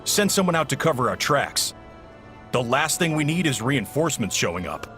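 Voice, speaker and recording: An actor playing a smug, calculating male villain in command in a video game, with a measured, condescending delivery. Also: medium pitch, big pitch swings, a bit bright, soft background music.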